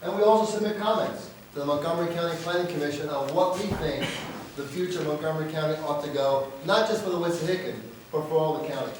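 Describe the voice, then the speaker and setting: Hoarse male presenter, emphatic and proud, slightly high voice, booming room sound. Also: quick pace, a little hiss or hum. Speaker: organization leader presenting annual results. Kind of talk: annual meeting speech. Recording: very echoey hall, far from mic.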